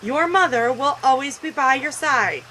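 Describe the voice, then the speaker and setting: A woman's voice: sits high, expressive, moderate pace, bright, forceful tone, a little hiss or hum. A woman voicing a possessive, scornful mother speaking to her daughter in a video game story. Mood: soothing, possessive.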